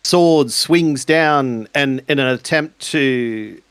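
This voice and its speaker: A man improvising narration for a tabletop roleplaying game, speaking in a halting, deliberate way. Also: medium pitch, fairly bright tone, clear, resonant tone, no room sound.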